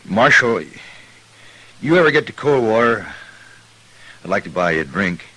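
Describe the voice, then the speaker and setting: An actor playing a plain-spoken, restless American frontier drifter in a western radio drama, with folksy cowboy talk. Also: male voice, on the low side, big pitch swings, normal speed, sharp tone, husky, full character voice, rough recording. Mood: sheepish, sincere. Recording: hissy old-time radio recording.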